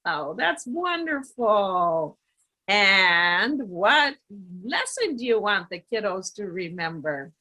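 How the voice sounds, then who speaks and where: A woman's voice: mid-range voice, sing-song, slow pace, bright, forceful tone. A woman hosting a children's show, interviewing and speaking directly to young listeners.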